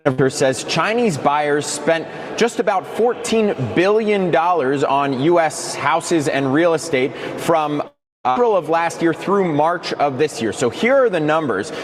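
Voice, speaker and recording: A man reporting as a television news correspondent in a brisk, scripted field report. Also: a little high, background noise.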